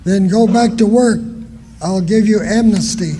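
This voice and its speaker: A man's voice: sits high, unhurried, mellow, husky. A man playing a threatening small-town sheriff in a radio drama, barking orders and haranguing. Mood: stern, coercive.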